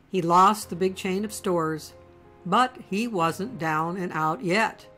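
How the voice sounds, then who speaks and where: A woman giving scripted documentary narration in a measured, storytelling delivery. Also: on the low side, fairly bright tone.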